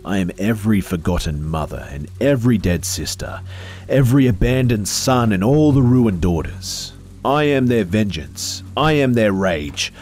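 A man voicing a faceless, vengeful static phantom with a buzzing, hissing voice and a slow, solemn, menacing delivery. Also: on the low side, expressive, darkish tone, voice pushed up, close to an everyday voice.

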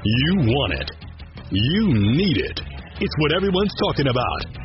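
A man's voice: mid-range voice, big pitch swings, a little slow. A man announcing a show intro in a punchy radio promo style. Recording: dull sound under loud music.

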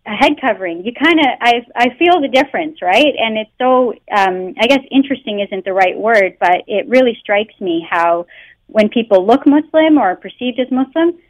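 A woman's voice: fairly high pitch, expressive, normal speed, no echo. A Canadian woman, a guest researcher, speaks earnestly in a phone interview, often filling with "you know" and "um". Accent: Canadian English. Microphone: telephone. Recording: muffled phone line.

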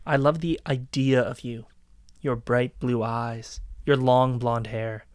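A man acting a vengeful, obsessive young captor in a dark dramatic podcast reading, speaking in an accusing, deliberate way. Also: medium pitch, fairly even intonation, smooth, no room sound, studio quality, natural voice. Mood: contemptuous.

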